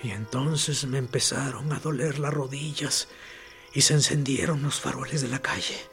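An actor playing a wistful boy who recalls childhood longings in a hushed radio-play monologue. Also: mid-range voice, steady tone, normal speed, creaky. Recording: music bed under the voice.

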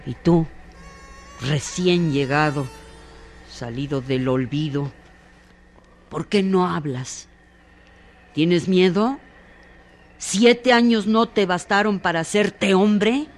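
A woman's voice: on the low side, takes it slowly, pauses often. A woman playing a bitter, ailing, ageing woman in a radio drama, theatrical and venomous. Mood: scornful, taunting.

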